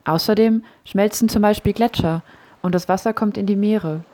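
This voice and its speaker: Actress as a 32-year-old coastal woman, calm, warm mellow tone. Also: medium pitch, moderate pace, close to an everyday voice. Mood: plain. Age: 30s.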